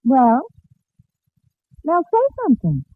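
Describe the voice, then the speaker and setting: Unhurried, high-voiced radio sweetheart girl. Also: wildly swooping pitch, full character voice. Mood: tender, loving. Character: affectionate, doting young sweetheart and daughter. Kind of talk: 1940s radio comedy acting. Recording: scratchy, tinny old-time radio recording.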